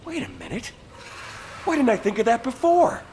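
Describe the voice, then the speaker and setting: A man playing a sardonic, scheming, excitable squad mate in a video game, wry and animated. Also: very high voice, normal speed, slight background noise, full character voice. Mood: frustrated.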